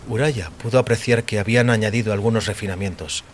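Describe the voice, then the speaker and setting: A man's voice: on the low side, quick pace. A man narrating scripted audio fiction with a solemn, literary delivery. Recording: clearly noisy, rain behind voice. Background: rain.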